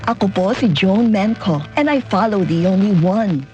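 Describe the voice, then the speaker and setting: A woman's voice: medium pitch, animated, moderate pace, dark tone, highs cut off. A Filipino woman giving an earnest, scripted inspirational testimonial as a grief coach. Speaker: grief and end-of-life coach. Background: music bed.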